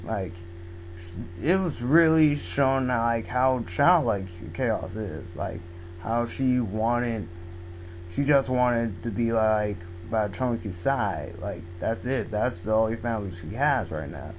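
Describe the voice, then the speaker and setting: A young man going hoarse, giving a rambling, casual talk about a manga full of "like" and restarts. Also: mid-range voice, slow pace, soft background music, poor audio. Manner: rambling, filler-heavy, restarts often. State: losing his voice. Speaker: manga video blogger. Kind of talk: casual spoken manga review. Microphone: home microphone.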